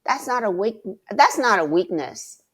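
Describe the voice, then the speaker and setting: A middle-aged woman guest with a loud voice, speaking passionately and emphatically, often restarting her sentences. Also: mid-range voice, sing-song, unhurried, breathy edge. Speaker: guest mentor. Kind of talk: conversational interview.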